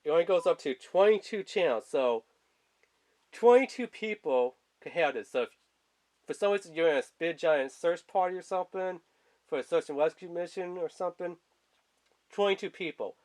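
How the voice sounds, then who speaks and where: Male reviewer, somewhat higher register, colloquial and loose, casual home product-video delivery. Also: expressive, moderate pace, a little mellow. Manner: rambling, informal, colloquial. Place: indoors at a tabletop.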